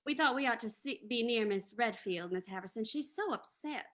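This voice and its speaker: A woman's voice: on the high side, lively intonation, moderate pace, fairly bright tone. A woman playing an anxious, loyal young lady companion to a detective, exclamatory, in an old-time radio play. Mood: polite.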